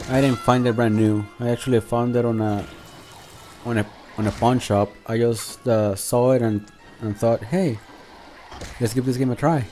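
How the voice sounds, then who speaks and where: Mellow-voiced man doing casual game commentary. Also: mid-range voice, fairly even intonation, normal speed, faint music behind. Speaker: video game review channel host. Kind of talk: casual unscripted gameplay commentary.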